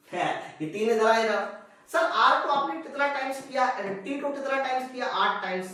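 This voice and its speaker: A man's voice: high-pitched, sharp tone. A man teaching an exam class conversationally, asking questions and answering them himself while addressing students directly. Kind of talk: live classroom-style lesson, working through physics problems. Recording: very echoey room, distant microphone.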